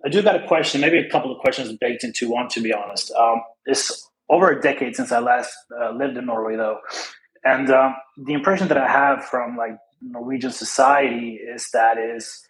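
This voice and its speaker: A chatty man from Argentina with a mid-pitched voice, casual and easygoing as he talks. His tone stays relaxed and friendly throughout. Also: normal speed, poor audio. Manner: casual, chatty, laid-back. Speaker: audience member.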